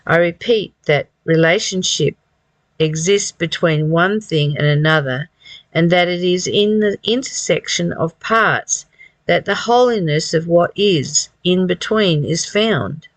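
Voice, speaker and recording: A person reading a spiritual text aloud and commenting on it informally, in a reflective, halting way that often repeats phrases. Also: mid-range voice, dry recording. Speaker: reader and commentator of a spiritual study.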